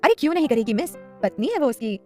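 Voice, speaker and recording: Woman as a gently wise, quick-spoken mother-in-law. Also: sits high, very animated, soft background music. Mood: fond scolding. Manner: tender, advising, emphatic. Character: affectionate middle-aged mother-in-law, gently wise and encouraging.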